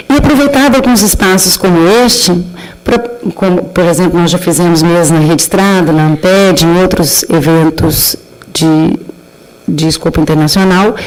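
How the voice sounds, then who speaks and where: A woman with a Brazilian Portuguese accent giving a formal, measured talk at an academic round table, pausing with fillers and restarts. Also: lowish voice, a little mellow, poor audio. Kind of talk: formal conference presentation. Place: university lecture amphitheater.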